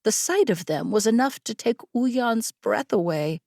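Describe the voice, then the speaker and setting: Woman reading a fantasy audiobook, slow and deliberate, dry studio-close sound. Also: on the high side, lively intonation, gravelly, darkish tone, no room sound. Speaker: audiobook narrator. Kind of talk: scripted fantasy story narration.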